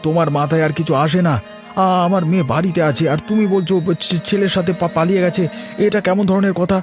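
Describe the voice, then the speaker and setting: A man's voice: high voice, fairly even intonation, quick pace, over music, lightly acted. A man voicing a middle-aged village man in a cartoon, with an earnest, dramatic delivery. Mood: indignant. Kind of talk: cartoon voice acting.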